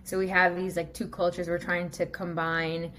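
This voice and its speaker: A woman from the United States hosting an interview, chatty and quick to relate her own experience. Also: on the low side, flat delivery, fairly bright tone, slight background noise. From USA. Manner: chatty, laughs easily, relates personally. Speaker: podcast host. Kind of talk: casual interview chat.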